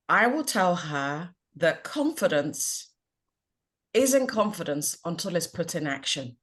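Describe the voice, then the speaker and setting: A woman from Ghana, a confidence coach, speaking earnestly and reflectively as a guest on a video call. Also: sits low. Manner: reflective, earnest and upbeat, laughs at herself. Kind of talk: guest on an online panel chat.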